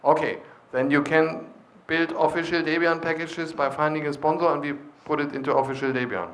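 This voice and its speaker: A man's voice: fairly high pitch, steady tone, a little fast, fairly bright tone. A man leading a conference discussion, encouraging attendees and explaining with hesitant fillers, speaking into a handheld microphone in a large lecture hall. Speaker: session leader.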